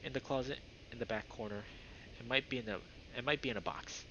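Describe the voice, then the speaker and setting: A man voicing a nervous young male animal character in a visual novel, halting, polite and insistent. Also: mid-range voice, bright, forceful tone, natural voice. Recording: dull lo-fi microphone, faint noise.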